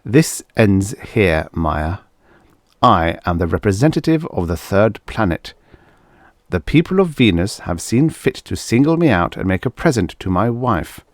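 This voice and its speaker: A man's voice: low-pitched, no echo, studio quality. A man voicing a domineering, pompous diplomat husband in a story, with a contemptuous, commanding, scolding manner. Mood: coldly controlled.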